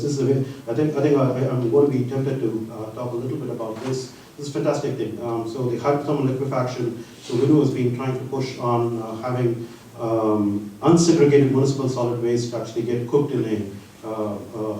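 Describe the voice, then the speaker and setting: A man presenting slides at a conference, talking in a halting way with frequent pauses mid-sentence. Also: mid-range voice, steady tone, mellow. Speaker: research center presenter. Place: large conference hall. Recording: echoey hall from far off, faint hiss.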